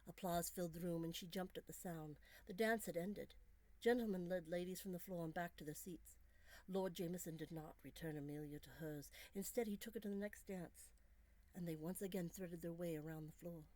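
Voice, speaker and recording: A woman author reading her own gothic romance story as scripted narration, measured and expressive. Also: lowish voice, low-quality recording.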